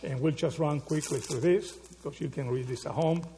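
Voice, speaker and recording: Man, preacher, gravelly voice, slightly high pitch, rhetorical questions, frequent check-ins with listeners. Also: moderate pace, mellow, slight background noise. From Argentina. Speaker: pastor. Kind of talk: sermon.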